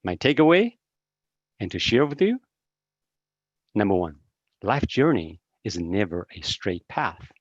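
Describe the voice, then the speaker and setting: A man's voice: lowish voice, sing-song, unhurried, pauses often, no room sound. A man in his fifties from Taiwan, a seminar speaker giving an encouraging online talk with many hesitant fillers over a video call. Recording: dry, dull video-call audio.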